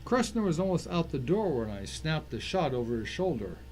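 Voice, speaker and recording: A grim first-person thriller narrator, performed by a man. His voice sits slightly higher than average for a man, and he tells the story as a dramatic scripted reading. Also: very animated, normal speed, darkish tone. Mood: tense, grim. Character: tense, vengeful male narrator of crime thriller.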